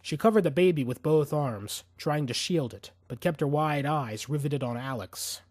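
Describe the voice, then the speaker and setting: A man's voice: a little high, moderate pace, a little mellow, no room sound, clean studio sound. A man narrating fiction as a dramatic audiobook reader, intense and suspenseful.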